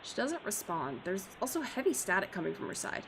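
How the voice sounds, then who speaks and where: A woman's voice: mid-range voice, sing-song, fairly quick, background noise. A woman streamer reading a visual novel aloud, expressively and theatrically, with joking asides. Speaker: streamer, virtual YouTuber.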